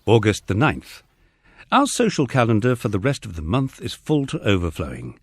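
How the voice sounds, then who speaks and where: A man narrating a first-person memoir as an audiobook, reading in a measured, expressive storytelling manner. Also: lowish voice, husky.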